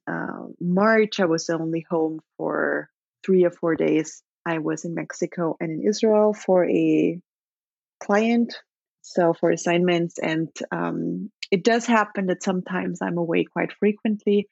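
A woman's voice: fairly low pitch, measured pace, gravelly, darkish tone, no echo, highs cut off. A woman in her thirties from Austria with an Austrian accent, chatting thoughtfully as a podcast guest with many restarts and fillers. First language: German. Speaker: podcast guest, travel blogger. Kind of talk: casual interview chat.

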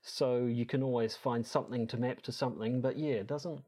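A man's voice: mid-range voice, steady tone, fairly quick, mellow. A man co-hosting a podcast in casual discussion, with a hesitant, rambling delivery that often repeats words. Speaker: podcast co-host.

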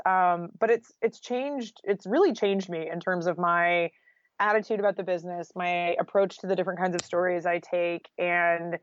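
A woman's voice: on the low side, dry recording, highs cut off. An American woman talking casually and candidly as an interview guest, with an informal style full of "like" and "you know". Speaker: guest, freelance journalist.

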